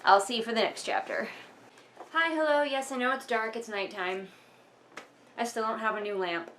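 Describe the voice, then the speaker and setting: A woman's voice: fairly high pitch, moderate pace, fairly bright tone, slight background noise, poor audio. A woman giving casual, sarcastic book commentary in an emphatic, exasperated manner, sometimes reading passages aloud. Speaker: vlogger doing a critical book read-along.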